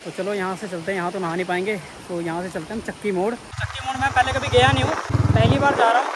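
Male travel vlogger, North Indian accent, high voice, colloquial and exclamatory, recorded outdoors with clear vehicle noise. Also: fairly even intonation, fast. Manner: colloquial, exclamatory, addresses viewers often. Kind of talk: casual travel vlog narration to viewers. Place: outdoors, much of it on a moving motorbike. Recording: noisy outdoor action camera, vehicle and street noise.

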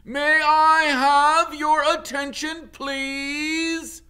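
A man's voice: very high voice, level tone, very slow, pushed and bright, voice pushed up, exaggerated voice. A man voicing a big, commanding male goose in a storybook, making formal announcements to his flock.

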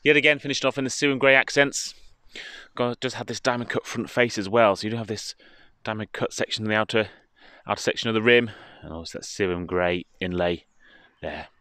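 Low-voiced British man presenting. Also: a little fast, sharp tone, smooth, no echo, studio quality. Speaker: car dealership presenter. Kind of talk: walkaround product presentation, semi-improvised commentary. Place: outdoors in a car park, partly inside a car.

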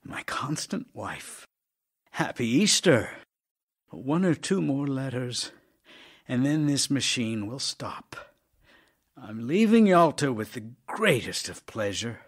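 A man's voice: medium pitch, breathy edge. An actor playing an ailing, tender, wry writer reading his love letters aloud in an audio drama, in a theatrical, intimate manner. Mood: wry, resigned, affectionate. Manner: theatrical, wry, intimate, shifting from emphatic to hushed.

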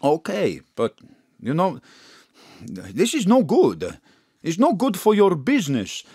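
An actor playing a kindly, fussing chip shop owner with a foreign accent and eager, apologetic broken English in an audio drama. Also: male voice, fairly high pitch, wildly swooping pitch, takes it slowly, darkish tone, slightly rough, no room sound, clean studio sound, full character voice. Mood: worried, caring.

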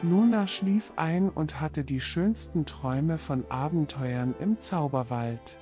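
Slow-paced male bedtime storyteller over music. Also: fairly high pitch, mellow. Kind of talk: scripted bedtime story narration for children. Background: orchestral string serenade music.

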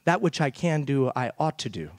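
A middle-aged man delivers an emphatic, rhetorical keynote from a big theater stage. He speaks through a headset mic at a middle pitch, and his voice sounds close and dry. He keeps turning to the audience with questions. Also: sing-song, moderate pace, no echo. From Iran. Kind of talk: motivational keynote speech.

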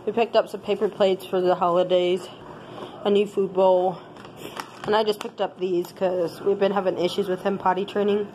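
A woman with a stuffed nose, speaking in a plain, unhurried way, recorded on a handheld phone in her home kitchen. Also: medium pitch, flat delivery, darkish tone, a little hiss or hum, rough recording. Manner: plain listing, unhurried, unscripted. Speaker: family channel host. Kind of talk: grocery haul show-and-tell. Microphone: handheld phone camera.

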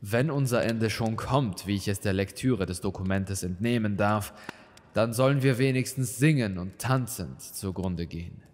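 A man's voice: lowish voice, moderate pace, darkish tone, in a large hall, lightly acted. A man voicing an older monsignor in an audio story, solemn, formal and insistent. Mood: grave urging. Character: grave monsignor urging secrecy, older churchman. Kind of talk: dramatic audio story dialogue.

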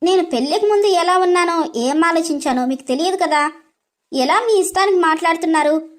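Woman voicing a hurt young wife, very high-pitched. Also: a little fast. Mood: hurt, indignant. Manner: pleading, tearful questioning. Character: hurt young daughter-in-law, timid yet indignant.

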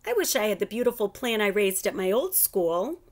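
A woman's voice: mid-range voice, sing-song, normal speed. A woman voicing a wistful, anxious, earnest young schoolgirl in a children's storybook. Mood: wistful, hopeful.

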